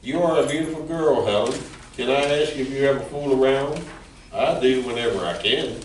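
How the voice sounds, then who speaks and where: A smooth-talking male villain with an average-pitched voice, acted by a man in an amateur play. He is flirtatious and menacing in a plainly read way. The voice comes from off in a roomy, slightly noisy space. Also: moderate pace, natural voice. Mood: flirtatious, sly. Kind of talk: amateur community theatre reading. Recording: roomy, distant mic with background noise.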